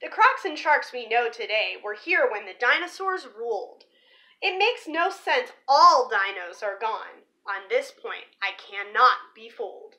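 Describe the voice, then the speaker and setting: Bright, high-pitched young woman presenting for children. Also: big pitch swings, unhurried, poor audio. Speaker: teacher reading a picture book aloud for children. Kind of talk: storybook read-aloud and presentation for children. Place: small living room or room at home, indoors.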